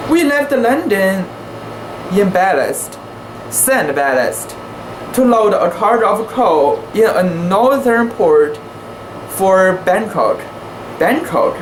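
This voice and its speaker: A woman giving an amateur dramatic reading of a classic sea story, careful and deliberate, with the occasional stumble. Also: mid-range voice. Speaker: amateur reader. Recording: echoey room with steady background hiss.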